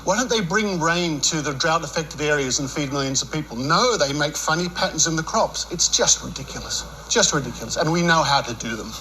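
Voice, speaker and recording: A man's voice: a little high, animated, fairly quick, few pauses, bright, forceful tone, slight background noise, low-quality recording. An Australian man arguing as a skeptic in a broadcast debate, emphatic and dismissive.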